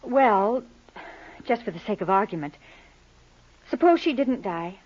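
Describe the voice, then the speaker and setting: An actress playing a fragile, distressed young widow with a hesitant manner in a 1950s radio melodrama. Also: female voice, medium pitch, very animated, a little slow, darkish tone. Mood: anxious, hesitant. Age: young adult. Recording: muffled old-time radio recording.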